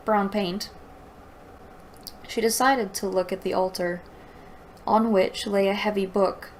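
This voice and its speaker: A woman's voice: medium pitch, slight background noise, low-quality recording. A woman reading a story aloud and breaking in with sarcastic, exasperated commentary. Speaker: host reading and critiquing a fantasy novel aloud.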